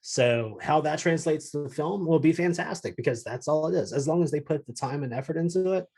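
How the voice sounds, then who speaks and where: A man's voice: fairly high pitch, brisk, a little mellow, husky. A man co-hosting a podcast in casual, unscripted conversation. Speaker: show co-host.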